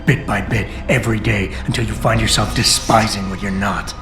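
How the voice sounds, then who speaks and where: A man's voice: on the low side, steady tone, moderate pace, lightly acted. An actor playing a stern male augmented agent in a video game, blunt and confrontational. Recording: roomy game audio with music.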